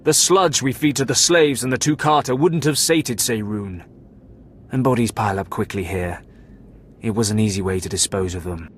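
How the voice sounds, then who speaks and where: An actor playing a remorseful keeper at a Sith academy, speaking in a measured, somber way. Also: male voice, lowish voice, slight background noise, natural voice. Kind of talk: video game voice acting.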